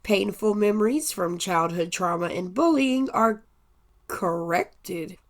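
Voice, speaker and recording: A woman playing a dutiful, matter-of-fact army corporal with a deliberate delivery in a radio play. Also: medium pitch. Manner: deliberate, obedient.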